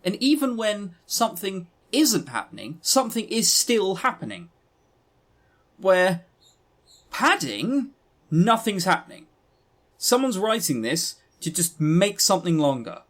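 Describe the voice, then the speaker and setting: Higher-voiced man in a rambling chat. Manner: rambling, informal, blunt. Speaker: podcast co-host. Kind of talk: casual review chat.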